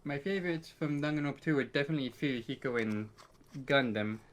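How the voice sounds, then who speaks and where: A man's voice: medium pitch, fairly quick, noisy background. A man chatting casually as a podcast co-host, giving his opinions in an informal way. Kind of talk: casual chat about favourites.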